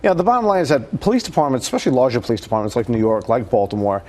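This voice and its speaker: A man's voice: mid-range voice, rapid-fire, a little mellow, a little hiss or hum. A man giving conversational, critical commentary as a guest in a news interview. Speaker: guest commentator, retired detective.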